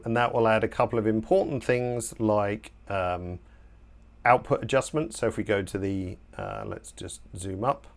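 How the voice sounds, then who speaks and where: A man in his forties walks viewers through a software tutorial on a livestream, his voice sitting a little low. He talks in a loose, chatty way full of "um" and "uh", and his voice is slightly worn. It sounds like a desk mic in a home office. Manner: relaxed, chatty explaining with filler words. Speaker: software trainer hosting a webinar. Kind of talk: live screen-share tutorial.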